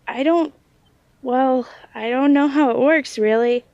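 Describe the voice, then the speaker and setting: Woman playing a hesitant, high-voiced young woman. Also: a little mellow, dry recording, lo-fi sound. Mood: unsure, explaining. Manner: hesitant, halting, self-doubting. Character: hesitant young woman with unreliable psychic sight. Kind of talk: naturalistic audio drama dialogue.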